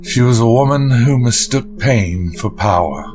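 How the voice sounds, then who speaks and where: A man playing an ancient, weary occultist in a gothic audio drama, speaking in a gentle, measured, grave way. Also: medium pitch, expressive, over music, lightly acted. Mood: weary, consoling.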